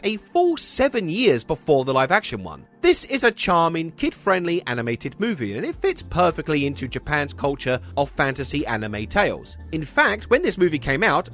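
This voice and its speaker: A man hosting a video essay, delivering scripted comedic narration in a wry way with deadpan asides. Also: a little high. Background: music under the voice.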